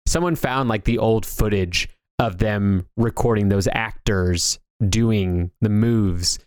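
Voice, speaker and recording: A man's voice: fairly low pitch, takes it slowly, a little mellow, clean tone, no room sound, studio quality. A man co-hosting a video game discussion, talking casually and conversationally, often doubling back on his words. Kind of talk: casual pop-culture discussion.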